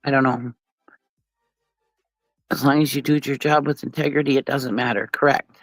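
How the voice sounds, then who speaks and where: A woman's voice: extremely low pitch, fairly even intonation, moderate pace, no room sound. A woman with a stuffy nose from a cold, hosting a casual livestream and chatting bluntly with viewers, often laughing and swearing. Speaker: livestream commentary host.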